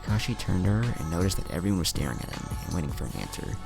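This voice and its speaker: Man, very deep, heavy vocal fry, animated story narration, music underneath. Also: racing. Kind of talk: scripted fan fiction narration with acted dialogue.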